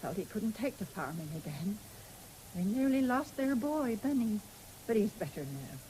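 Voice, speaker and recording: A woman's voice: medium pitch, big pitch swings, moderate pace, dark tone, natural voice, rough recording. An actress playing a proud, stubborn ageing farm woman in a radio drama, speaking in a brusque, defiant way. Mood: curt, guarded. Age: older. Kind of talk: 1970s British radio drama acting. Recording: faintly hissy old radio broadcast.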